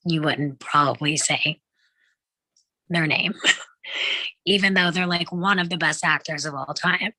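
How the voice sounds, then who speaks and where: A woman in a casual group discussion, working through her thoughts in halting phrases with a lot of "like". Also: low-pitched, pushed and bright, no room sound, poor audio. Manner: halting, filler-heavy phrasing with frequent "like".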